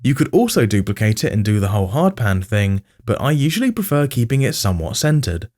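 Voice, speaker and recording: Man, tutorial narrator, medium pitch, expressive intonation, informal, explanatory, speaks straight to the viewer. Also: a little fast. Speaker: music production tutorial host.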